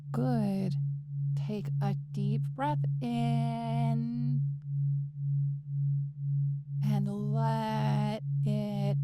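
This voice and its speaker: A woman playing a calm, quietly controlling therapist and hypnotist in a measured, coaxing audio roleplay with deliberate pauses. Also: mid-range voice, heavy background noise. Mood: hypnotic, commanding. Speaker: therapist character.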